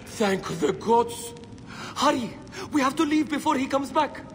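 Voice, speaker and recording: A terrified market fish seller fleeing captivity. The voice is high and urgent, with long reverb and faint ambient noise. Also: male voice, measured pace, fairly bright tone. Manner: urgent, frightened.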